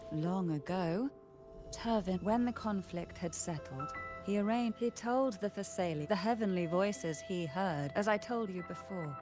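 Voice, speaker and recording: A woman voicing a composed, guarded noblewoman matriarch in a video game, speaking softly in a measured, formal and cryptic way. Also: mid-range voice, music in the background, natural voice. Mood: solemn, guarded.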